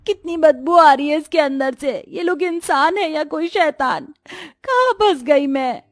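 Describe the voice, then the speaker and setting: Woman doing young indian bahu in a cartoon, high voice, upset and plaintive, very dry sound. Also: animated, unhurried, no echo. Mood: disgusted. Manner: plaintive, lamenting. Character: distressed young Indian daughter-in-law, tender-hearted and plaintive. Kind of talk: cartoon story dialogue.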